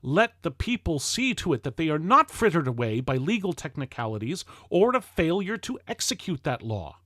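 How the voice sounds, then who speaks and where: A man reading an old-fashioned political address aloud in a formal, declamatory and emphatic manner with deliberate phrasing. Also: fairly high pitch, sing-song, pushed and bright, no room sound, studio quality. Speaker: reader of a historical political speech. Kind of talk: formal oratory, read-aloud 19th-century address.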